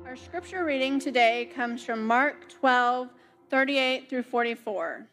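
A young woman, a summer intern, reading scripture and preaching a sermon in a church, with a slow, careful delivery. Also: high-pitched, fairly bright tone, faint music behind. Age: young adult. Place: church sanctuary.

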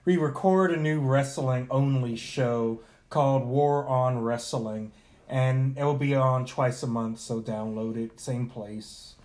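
Rambling man at mid pitch, full of fillers. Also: slow, a little mellow. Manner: rambling, filler-heavy, wry. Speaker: podcast co-host. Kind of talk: casual chat.

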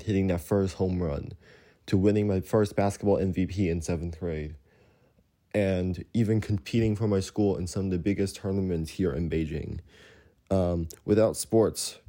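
Teen boy hosting a podcast, really deep dark voice, earnest halting script read. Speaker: student podcast host.

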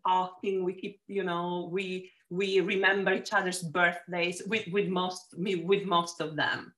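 A woman's voice: lowish voice, flat delivery, unhurried. A woman in her forties from Sicily with an Italian accent, talking through her career as an interview guest with frequent restarts. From Sicily, Italy. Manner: warm storytelling with frequent restarts. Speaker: real estate agent, guest. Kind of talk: casual interview chat.

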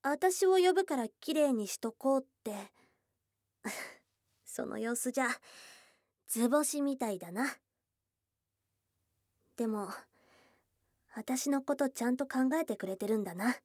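High-voiced tomboyish goblin girl, acted by a woman. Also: expressive, measured pace, long pauses, no room sound, studio quality. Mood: curious, teasing. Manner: casual tomboyish speech, soft and hesitant. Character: shy, tsundere young goblin girl, lonely and affectionate. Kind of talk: Japanese ASMR situation voice drama. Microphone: close studio mic, dry.

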